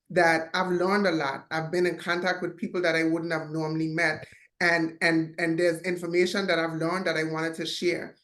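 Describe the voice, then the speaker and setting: A woman hosting a podcast, speaking in an earnest, measured way as she frames her questions around faith. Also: lowish voice, a bit bright. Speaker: podcast host. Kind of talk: interview questions.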